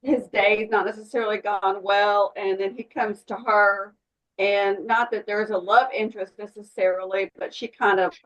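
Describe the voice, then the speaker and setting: A woman's voice: mid-range voice, fairly even intonation, moderate pace, fairly bright tone, rough recording. A woman taking part in a casual workshop discussion, talking in a rambling way and circling back to restate her thoughts. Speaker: workshop participant.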